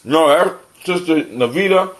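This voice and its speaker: A man's voice: on the high side, measured pace, poor audio. An American man hosting a live community talk show, chatting casually and in a folksy way to his listeners like family. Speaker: host of a live call-in show.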